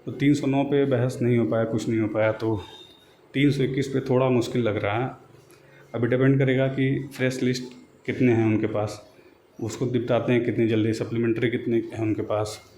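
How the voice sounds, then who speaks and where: A man giving an informal explanatory update to viewers as an online host, in a halting, repetitive way with drawn-out words. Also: medium pitch, little pitch movement, dark tone, a little hiss or hum. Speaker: YouTube host giving exam and recruitment updates.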